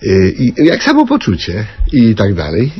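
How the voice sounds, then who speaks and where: Evasive bumbling Mr Sułek. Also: male voice, on the low side, wildly swooping pitch, normal speed, slightly rough, heavily acted. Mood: hesitant. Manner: evasive, hesitant, mumbling. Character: awkward bumbling grown man Mr Sułek. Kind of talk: 1970s radio comedy acting. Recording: dull old radio studio recording.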